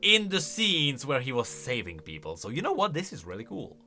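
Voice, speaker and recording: A man's voice: medium pitch, wildly swooping pitch, moderate pace, bright, forceful tone, faint music behind. A man giving chatty, informal, opinionated reaction commentary on video game lore.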